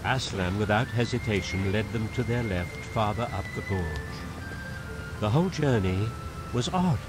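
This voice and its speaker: A man narrates a fantasy radio drama in a somewhat low, unhurried voice, shaping each phrase like a storyteller. A prominent musical score runs beneath his reading throughout. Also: lively intonation, over music, rough recording.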